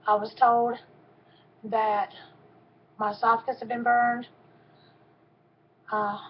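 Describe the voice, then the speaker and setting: A soft-voiced woman in her fifties, her tone sitting a little high for a woman. She gives a personal testimony slowly and haltingly, pausing often with ums. The recording is dull and lo-fi, with a faint hum behind her. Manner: halting, with frequent pauses and ums.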